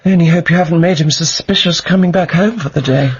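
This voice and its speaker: An actress playing a shrewd, protective wife in a radio drama, probing and coaxing with quick wit. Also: female voice, lowish voice, slightly rough, dull audio. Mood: soothing concern. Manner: probing, soothing, quick-witted.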